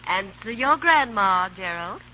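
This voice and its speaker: Actress as a sassy young wisecracker, noisy old radio. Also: female voice, mid-range voice, animated, close to an everyday voice. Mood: sassy, breezy. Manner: breezy, sassy, quick-witted. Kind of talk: 1930s radio comedy acting. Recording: noisy, muffled vintage radio recording.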